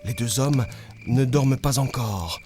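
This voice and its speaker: A man's voice: mid-range voice, measured pace, warm tone, lightly acted. A man playing a patient storyteller in a children's cartoon, telling Bible stories expressively over music. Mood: gentle, expressive. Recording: music behind the voice.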